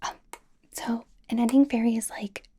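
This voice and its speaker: A woman playing a bubbly, anxious, caring young K-pop idol in an ASMR roleplay, with an American accent, a soft breathy whisper and a chatty, rambling, reassuring way of talking. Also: a little high, level tone, normal speed, a little hiss or hum, close to an everyday voice. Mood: chatty, playful. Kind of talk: ASMR personal attention roleplay.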